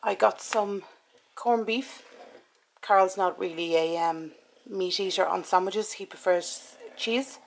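Woman, forties, Irish accent, mid-range pitch, casual vlogger chat, matter-of-fact, noisy background. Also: slow pace. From Ireland. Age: 40s. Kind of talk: casual chat about shopping. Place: home kitchen and inside a car. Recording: clicks and clear background noise.

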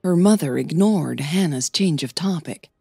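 A woman's voice: low voice, expressive, normal speed, creaky, warm tone, slightly rough, no echo, studio quality, faint music behind. A woman reading scripted fiction as the narrator of an audio drama podcast.

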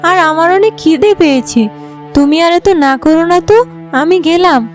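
Woman voicing a high-pitched dutiful village daughter. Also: moderate pace, soft background music. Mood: insistent. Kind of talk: Bangla cartoon fairy tale dub.

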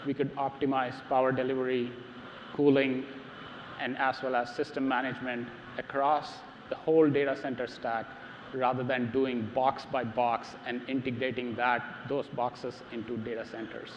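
A man's voice: medium pitch, level tone, moderate pace, a little hiss or hum. A middle-aged man presenting on a large stage to a live audience, speaking emphatically and repeating words as he starts sentences. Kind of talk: technical keynote talk. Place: large dark stage before a seated audience. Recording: roomy hall reverb, dull sound, faint ambience.

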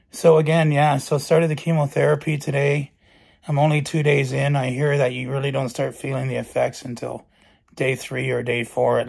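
Hoarse, gravelly middle-aged man. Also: medium pitch, a little mellow. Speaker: vlogger. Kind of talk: personal health update. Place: bedroom at night, indoors. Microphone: phone camera held close.

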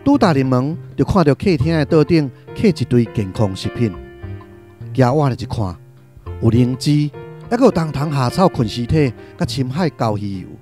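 Male Taiwanese-accented radio drama narrator: mellow, very animated, expressive literary storytelling over faint music. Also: medium pitch, moderate pace.

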